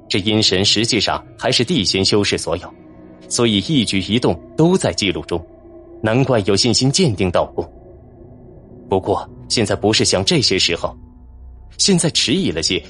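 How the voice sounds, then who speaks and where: A man's voice: fairly low pitch, fairly bright tone. A man reading a fantasy novel as a dramatic audiobook narrator. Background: music.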